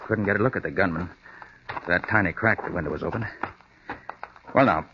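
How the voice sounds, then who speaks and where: An actor playing a confident, crisp, authoritative American private detective in a 1940s-style radio drama. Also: male voice, deep voice, a little fast, background noise, close to an everyday voice. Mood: analytical. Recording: muffled old-time radio recording.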